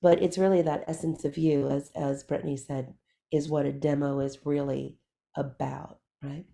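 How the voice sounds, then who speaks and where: A woman hosting a coaching session, talking conversationally in a halting, thoughtful way and rephrasing as she goes. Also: deep voice, flat delivery, creaky, mellow. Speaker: host, voice-over coach.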